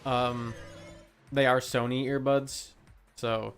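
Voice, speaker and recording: A man's voice: medium pitch, flat delivery, slow pace. A man chatting casually and playfully on a game livestream, with some swearing. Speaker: game streamer. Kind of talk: casual joking livestream chat. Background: video game music.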